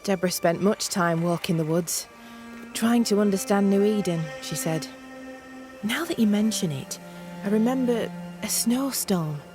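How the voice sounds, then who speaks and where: A woman's voice: medium pitch, a little slow, music in the background, lightly acted. An actress playing a guarded, reluctant colonial woman in a video game, speaking in a terse, wary manner. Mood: recollecting. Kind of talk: scripted video game cutscene acting.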